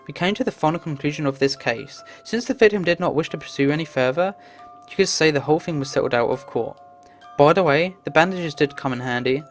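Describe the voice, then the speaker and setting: Woman, low voice, story narrator, read-aloud visual novel, music behind.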